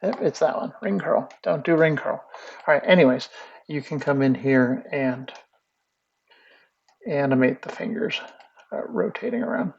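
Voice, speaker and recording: A man teaching animation in a casual screen-share tutorial, thinking aloud in a relaxed way. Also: a little high, normal speed, creaky, pauses often, husky. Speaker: animation instructor. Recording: muffled lo-fi audio with faint music.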